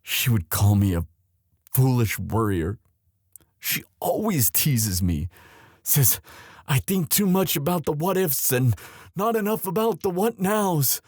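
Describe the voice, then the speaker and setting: A man acts out a young prince, devoted and prone to worry, in a romantic audio roleplay. His delivery is intimate and unhurried, swinging widely in pitch with feeling. The voice has a breathy, husky edge. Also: very animated, darkish tone, no room sound, studio quality, full character voice. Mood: anguished, remorseful. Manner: intimate, halting, emotional. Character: young prince, tender, devoted, anxious lover.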